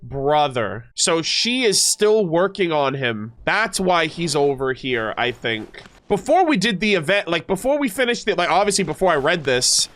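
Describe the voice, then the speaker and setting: A man's voice: fairly high pitch, big pitch swings, normal speed, hardly any pauses, a bit bright. A man streaming a video game, reading lore aloud and reacting informally to his chat. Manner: informal, mild swearing, addresses chat.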